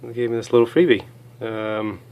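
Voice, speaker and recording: A hoarse man with a cold, chatting casually as he reviews small gear items, with many fillers. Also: mid-range voice, flat delivery, moderate pace, narrow, tinny audio.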